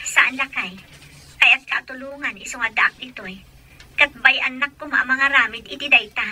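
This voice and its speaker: An actress with an Ilocano accent playing an earnest, gentle woman in a family radio drama. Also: high voice, moderate pace, heavily acted, rough recording. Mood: apologetic, reassuring.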